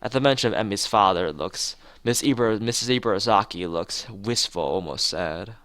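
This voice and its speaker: A man's voice: fairly low pitch, moderate pace, fairly bright tone, no room sound. A man streaming a visual novel, reading the text aloud with casual asides and often stumbling and correcting himself. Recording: music behind the voice. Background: game background music.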